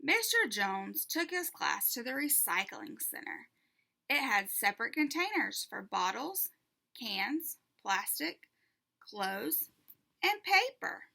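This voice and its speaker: Female storybook reader: high, bright voice, deliberate and expressive for small children. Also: creaky. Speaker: teacher reading a children's book aloud.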